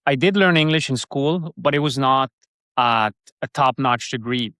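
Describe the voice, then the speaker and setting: A man with a Swiss German accent chats as a podcast guest, circling his points with restarts and fillers. His voice sits in a middle pitch range. The sound is close and dry, with no room around it. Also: moderate pace, bright, forceful tone, clear, resonant tone, dry recording. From Switzerland. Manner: rambling, many restarts and fillers. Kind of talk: casual interview chat.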